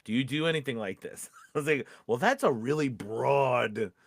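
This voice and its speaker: A man's voice: mid-range voice, normal speed. A man co-hosting a casual advice chat, informal and encouraging, often acting out little example quotes and checking that he is understood. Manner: informal, encouraging, often checks in with "you know what I mean". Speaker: podcast co-host and voice-over coach.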